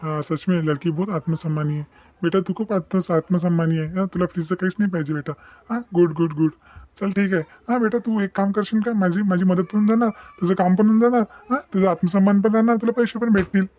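Guy playing kindly flustered snack shop boss, high for a man, talks fast, repeats himself, cartoon. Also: fairly even intonation, darkish tone, natural voice. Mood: kindly, approving. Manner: repetitive, avuncular, mixing Hindi and Marathi. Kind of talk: animated moral story dialogue.

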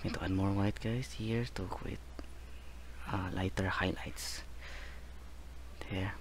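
A man with a low voice talks slowly through a painting lesson as he works, stopping often between short remarks. His delivery is calm and unhurried. A faint noise runs under the recording. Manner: slow, relaxed, repeats "guys" and "there", gentle reminders. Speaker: painting instructor. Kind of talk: real-time painting tutorial narration.

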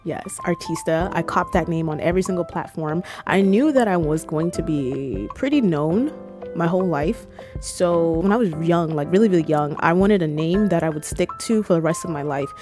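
A woman's voice: on the low side, moderate pace, music in the background. A woman in her twenties from Cameroon, chatting playfully to camera, holding a close mic in a small room. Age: 20s. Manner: playful, animated, jokey with squealed asides. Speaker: YouTuber. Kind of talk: casual chat to camera. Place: small room with red lighting, indoors. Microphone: handheld mic close to the mouth.

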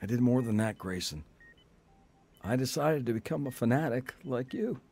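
A man playing a contrite older brother, earnest and gently wry, in a radio drama. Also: mid-range voice, lively intonation, normal speed. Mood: grateful, wry. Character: contrite older brother, newly grateful and sincere.

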